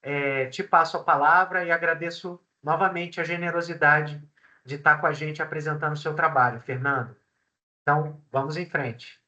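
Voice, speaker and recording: Bright-voiced male professor on a dull, lo-fi call. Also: fairly high pitch, fairly even intonation. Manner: measured, with frequent fillers. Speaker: seminar host, professor. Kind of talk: formal introduction. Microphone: video call. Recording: dull lo-fi video call audio.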